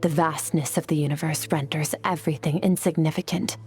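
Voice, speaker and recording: A woman voicing a cold, stoic swordswoman in a video game, stern, detached and measured. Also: deep voice, steady tone, a little mellow, faint music behind, lightly acted.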